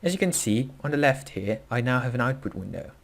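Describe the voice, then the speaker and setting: A young man with a Dutch accent talks through a beginner coding tutorial in English, his second language. His delivery is casual and halting, full of fillers and quick self-corrections. His voice sits at an ordinary middle pitch. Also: lively intonation, vocal fry. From Netherlands. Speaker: tutorial host. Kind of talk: screen-recorded coding tutorial.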